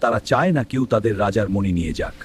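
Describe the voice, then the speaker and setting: A man's voice: on the low side, animated, fast, faint music behind. A man reading scripted story narration for a cartoon.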